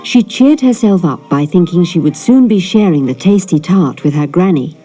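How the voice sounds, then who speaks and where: A woman narrating a children's fairy tale in an expressive storytelling manner. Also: on the low side, normal speed, faint music behind. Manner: animated storytelling. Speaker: storybook reader.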